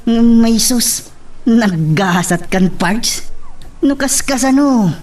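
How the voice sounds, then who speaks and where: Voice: medium pitch, expressive, extremely slow, darkish tone. A performer playing a teasing, scheming buddy in an Ilocano radio drama, with slangy delivery.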